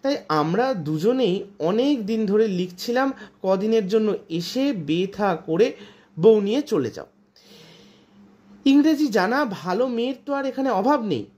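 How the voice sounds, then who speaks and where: A woman's voice: medium pitch, animated, a little slow, a little mellow. A woman voicing a status-proud, anglicised barrister father in storybook dialogue, dropping English words into the conversation. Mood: explaining, matter-of-fact.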